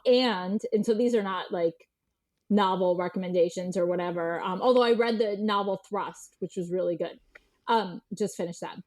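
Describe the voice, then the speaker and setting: An American woman chatting informally as an interview guest, animated and peppering her talk with "like" and quoted dialogue. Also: fairly low pitch. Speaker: podcast guest, journalist and historian. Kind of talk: casual interview chat.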